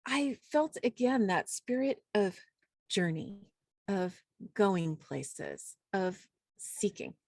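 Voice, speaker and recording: Female poetry host, mid-pitched, slow and effusive, reflective introductions, recorded dry. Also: big pitch swings, creaky, dry recording. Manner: effusive, reflective, halting with pauses. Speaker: host and poet. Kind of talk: warm, informal event introduction.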